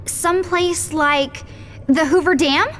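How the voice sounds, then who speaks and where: A woman's voice: high voice, steady tone, takes it slowly, a bit bright, a little hiss or hum. A woman voicing a sensible, analytical young girl sidekick in a cartoon game, inquisitive and matter-of-fact. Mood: focused. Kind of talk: video game cartoon voice acting. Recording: music behind the voice. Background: music.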